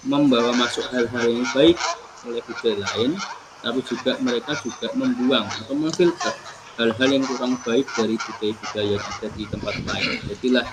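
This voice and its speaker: A middle-aged man from Pekalongan presents a webinar lesson at an ordinary, middling pitch. He speaks in a measured way, often stopping on fillers while he looks for words. A steady, clearly audible noise runs under his voice. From Indonesia, Pekalongan. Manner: measured, with frequent hesitations. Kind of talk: lecturing from slides in a webinar.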